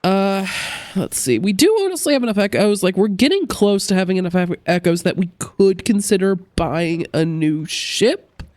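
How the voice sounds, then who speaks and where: A woman's voice: medium pitch, expressive, normal speed, darkish tone, no room sound. A woman with a congested, recovering-from-a-cold voice, chatting casually as she plays a game and thinks aloud. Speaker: let's play host. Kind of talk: casual gameplay commentary.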